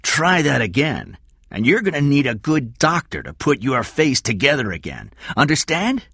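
A man's voice: medium pitch, very animated, normal speed, pushed and bright, husky, no room sound, studio quality, voice pushed up, full character voice. A man voicing a menacing male kidnapper with a sneering, bullying manner. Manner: sneering, threatening, mock-sweet taunts.

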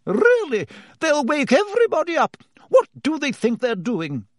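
A high, shrill and scolding matron, fussy and stern, her voice pushed well up into a strained, sharp register, as a male reader puts her on. The read is close and dry, with no room around it. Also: huge pitch swings, moderate pace, no room sound, heavily acted. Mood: indignant. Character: fussy stern female school nurse.

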